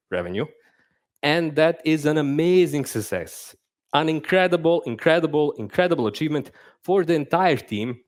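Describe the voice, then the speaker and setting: Young man, lively swinging pitch, casual self-mocking conference speaker. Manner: animated, conversational, self-deprecating humor. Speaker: conference speaker, game narrative designer. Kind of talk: live conference talk. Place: stage at a conference.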